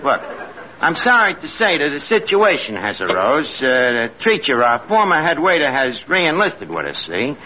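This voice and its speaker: A bossy, streetwise New tavern manager from 1940s radio comedy. His voice sits high and swings widely in pitch. He puts pompous phrases into mangled grammar, on a muffled old recording. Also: huge pitch swings, moderate pace, slight background noise. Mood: wheedling.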